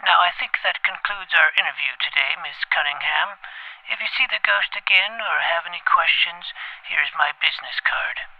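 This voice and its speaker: A man playing a pompous, precocious twelve-year-old boy detective in an audio drama, formal and wordy, with a creaky voice. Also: on the high side, normal speed, dry recording, muffled recording. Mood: grave, self-important. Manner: formal, verbose, clipped interrogator's delivery. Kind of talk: scripted audio drama acting.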